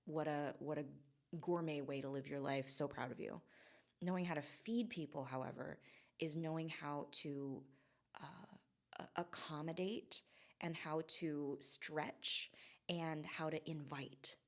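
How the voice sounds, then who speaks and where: A woman from Hawaii, in her forties, talks casually to her camera at home. Her voice sits very low for a woman. She muses and wanders, stopping and restarting her thoughts with plenty of fillers. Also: steady tone. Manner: musing, halting, with many restarts and fillers. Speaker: vlogger. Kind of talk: casual reflective monologue. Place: home in Southern California.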